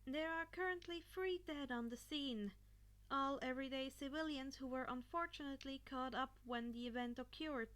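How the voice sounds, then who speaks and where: A grim female news reporter voiced by a story reader with a high voice. She reports formally and in measured phrases, as in an audiobook scene. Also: a bit bright. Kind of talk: fan fiction audiobook character voice.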